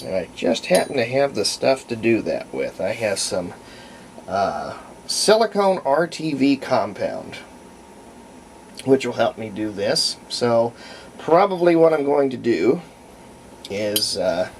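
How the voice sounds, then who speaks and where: A man's voice: mid-range voice, big pitch swings, slow, a little hiss or hum. A man giving a casual, unscripted how-to narration as a hobbyist restorer, folksy and rambling as he thinks aloud. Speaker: hobbyist restorer presenting a repair.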